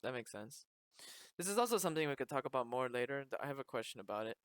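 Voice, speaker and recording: A man's voice: mid-range voice, sing-song, fast talker, a bit bright, clear, resonant tone, dry recording, clean studio sound. A man hosting a podcast, chatting and asking questions in a casual, conversational interview style. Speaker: podcast host.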